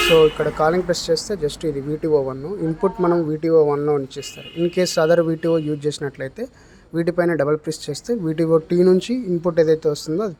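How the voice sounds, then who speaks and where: A man's voice: on the high side, a little mellow. A man giving an unscripted, explanatory product demonstration, mixing in English technical terms. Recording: clear background noise, room ambience.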